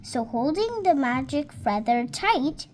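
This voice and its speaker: A girl of about seven reading a storybook aloud in a careful, halting, word-by-word way. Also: high voice, big pitch swings, darkish tone, a little hiss or hum. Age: child, about seven. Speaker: young reader.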